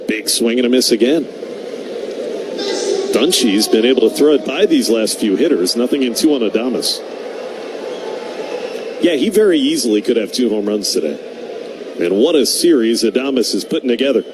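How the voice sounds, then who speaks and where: American baseball radio announcer, man, noisy feed. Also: mid-range voice. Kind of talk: live play-by-play commentary with sponsor reads. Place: ballpark broadcast booth. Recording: noisy live ballpark radio broadcast.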